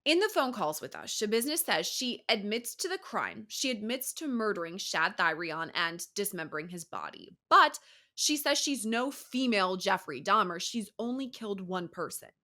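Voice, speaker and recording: A woman's voice: mid-range voice, sing-song, normal speed, sharp tone. A woman with an American accent narrating a scripted true-crime news report as the reporter.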